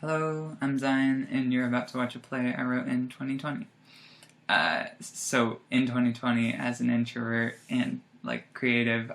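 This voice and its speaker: A young male student writer gives an informal introduction to his play. He sounds hesitant and self-deprecating, with a medium pitch and plenty of vocal fry. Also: a bit bright. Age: young adult. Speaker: student playwright.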